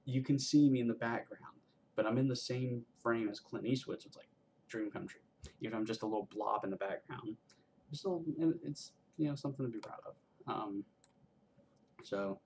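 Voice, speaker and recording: A man's voice: on the low side, a little mellow, slight background noise, low-quality recording. A man of twenty-one chatting casually in a vlog, rambling with lots of ums and restarts, making self-deprecating jokes. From Georgia, US. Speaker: vlogger, college student filmmaker.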